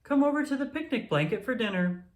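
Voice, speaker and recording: A narrator voicing a gentle, reassuring mother tiger in a children's storybook. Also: mid-range voice, lively intonation, quick pace, roomy sound, voice pushed up, lightly acted. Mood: calling out.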